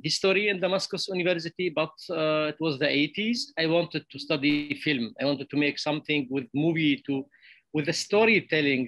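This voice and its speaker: A middle-aged man from Syria, a film director answering festival questions over a video call, halting and restarting often. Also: fairly high pitch, creaky, fairly bright tone, no echo. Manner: halting, many restarts and repeated words. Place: bright room with plants.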